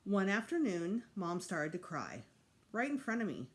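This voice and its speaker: Female storyteller, teacher reading to children. Highly animated intonation, medium pitch, emphatic and expressive. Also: normal speed. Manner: animated, acts out dialogue with emphasis. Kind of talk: expressive read-aloud storytelling.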